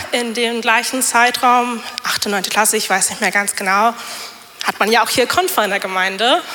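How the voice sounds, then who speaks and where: A woman's voice: on the high side, sharp tone, in a large hall. A young woman with a slight cold giving a personal testimony in church on a handheld microphone, chatty and full of fillers. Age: young adult. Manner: chatty, many fillers, self-deprecating laughs. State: has a cold. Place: church hall.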